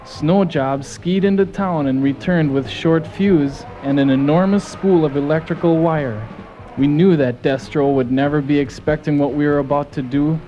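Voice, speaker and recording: A man's voice: on the high side, fairly even intonation, moderate pace, dark tone, highs cut off, natural voice. A man playing a folksy, gung-ho soldier who narrates his team's mission in a scripted audio drama. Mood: earnest storytelling. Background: music.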